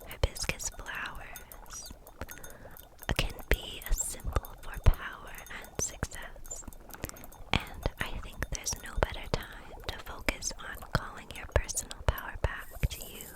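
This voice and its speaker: A woman playing a gentle, kindly kitchen witch in an ASMR roleplay, speaking in a soft, soothing, nurturing whisper. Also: a little slow, close to an everyday voice.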